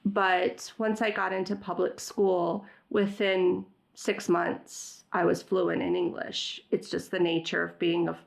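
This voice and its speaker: A middle-aged woman with a gravelly, creaky edge to her voice, speaking as an interview guest. Her first language is Farsi, and she talks thoughtfully, pausing on fillers and restarting sentences as she goes. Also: fairly low pitch, level tone. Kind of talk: conversational interview.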